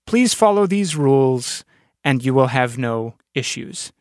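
A man hosting a thoughtful podcast interview, speaking in deliberate, measured phrases with pauses. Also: medium pitch, lively intonation, dry recording, studio quality.

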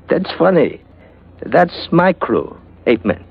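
An actor playing a swaggering, sly pirate captain with a Spanish accent and broken English, in 1940s radio drama. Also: male voice, a little high, very animated, slow, creaky, breathy edge, heavily acted. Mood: amused, sly. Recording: muffled vintage radio recording.

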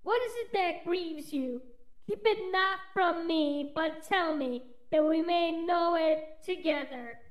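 A man voicing a tender, concerned sea goddess mother in a coaxing falsetto. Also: takes it slowly, roomy sound, highs cut off.

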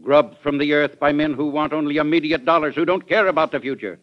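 Man playing crusading american govt official, higher voice, oratorical old radio drama style, dull vintage recording. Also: fairly even intonation, fast, close to an everyday voice. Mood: impassioned. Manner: oratorical, formal, urgent. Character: earnest, crusading male government official, formal and indignant.

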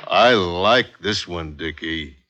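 An actor in an old-time radio drama playing a big, gullible, slow-witted sailor thug with halting, blunt speech. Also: male voice, deep voice, bright, forceful tone, exaggerated voice. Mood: bashful simple. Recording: noisy vintage radio recording.

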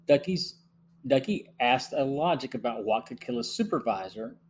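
A middle-aged man hosting a review podcast, reading scripted narration in a measured way. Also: medium pitch, animated, slightly rough. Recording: faint hum and background noise.

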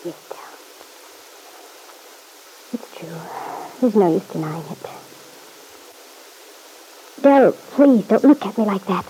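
A woman's voice: mid-range voice, animated, takes it slowly, long pauses, mellow, a little hiss or hum, low-quality recording. An actress playing an anxious, guilt-ridden young mother in an old-time radio soap opera, hesitant, plaintive and defensive. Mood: remorseful.

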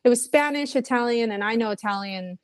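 A woman's voice: fairly high pitch, fairly quick, dry recording, clean studio sound. A young woman, a musician guest, chatting casually in an interview, rambling with lots of fillers and restarts.

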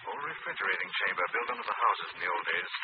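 An actor playing a commanding, probing crime-fighter in an old-time radio mystery, speaking with deliberate authority. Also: male voice, low-pitched, natural voice. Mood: calm, investigative. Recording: tinny, noisy vintage radio recording.

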